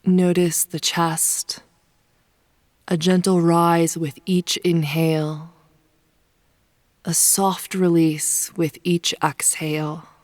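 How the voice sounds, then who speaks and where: A woman leading a guided yoga nidra meditation in a soft, soothing, unhurried manner, with phrase ends sometimes hushed. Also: fairly low pitch, flat delivery, no room sound, studio quality. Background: soft pink noise.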